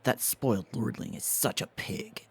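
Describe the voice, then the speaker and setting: A man's voice: mid-range voice, a little slow, vocal fry, slightly rough, heavily acted. A man playing a disgruntled, gossiping townsman in a manga dub, grumbling as he talks. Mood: bitter, gossipy.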